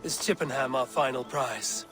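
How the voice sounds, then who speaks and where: An actor playing a seasoned Viking clan leader in a video game, speaking low and measured, with game music behind. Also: male voice, lightly acted.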